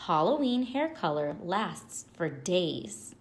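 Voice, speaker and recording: An anxious young schoolboy frets in a slow, pleading storybook voice, as a woman reads him aloud. His lines rise and fall with lively swings of pitch. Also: heavily acted. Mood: worried. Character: young boy, anxious about his crazy hair.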